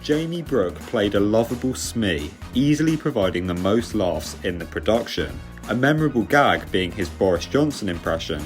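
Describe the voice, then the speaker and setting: Low-voiced British man reviewing over music. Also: animated, normal speed, hardly any pauses. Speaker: theatre reviewer and host. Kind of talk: scripted review narration. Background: music under the voice.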